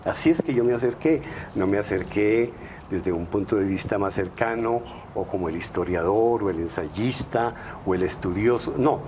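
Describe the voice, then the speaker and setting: A man's voice: fairly low pitch, moderate pace, darkish tone, slight background noise. Emphatic male novelist on a panel. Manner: emphatic, lists words in rhythmic series. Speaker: novelist guest. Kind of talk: studio panel discussion.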